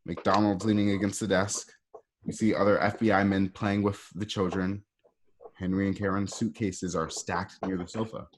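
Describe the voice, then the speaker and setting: A man's voice: low voice, level tone, moderate pace, background noise. A man reading scene directions as the narrator of a scripted drama.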